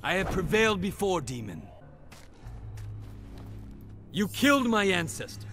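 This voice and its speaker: An actor playing a proud, taunting, confident Shaolin warrior monk in a fighting game. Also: male voice, high-pitched, big pitch swings, a little fast, a bit bright, exaggerated voice. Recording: music behind the voice.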